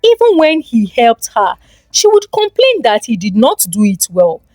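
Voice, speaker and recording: A woman with a Nigerian accent narrates a folktale with dramatic expression. Her voice is fairly high and moves widely in pitch, recorded close and dry. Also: wildly swooping pitch, measured pace, darkish tone, no room sound. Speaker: storyteller.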